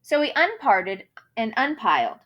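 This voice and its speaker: A woman's voice: a little high, very animated, unhurried, fairly bright tone. A woman reading a children's picture book aloud in a theatrical manner, savoring the rhymes and wordplay with dramatic emphasis. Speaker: storybook reader.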